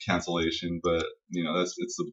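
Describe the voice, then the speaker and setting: A man's voice: low-pitched, monotone, fairly quick, a bit bright. A man co-hosting a casual geek-culture podcast, enthusiastic and rambling, swearing freely as he talks. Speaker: podcast co-host. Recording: dull lo-fi audio, distant from mic.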